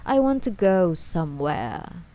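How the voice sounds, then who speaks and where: A woman playing an obsessive, sweetly menacing female stalker in an ASMR roleplay, coy and breathy, often slipping toward a whisper as she coddles her captive. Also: on the low side, very animated, a little slow, mellow, a little hiss or hum. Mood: possessive affection.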